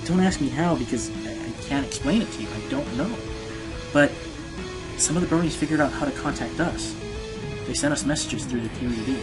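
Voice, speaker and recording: A man's voice: medium pitch, brisk, close to an everyday voice, rough recording. A man playing a passionate, self-deprecating male Pegasus radio host in an earnest radio play. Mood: hesitant, uneasy. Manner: earnest, hesitant, turning indignant. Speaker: radio show host being interviewed. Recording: music behind the voice.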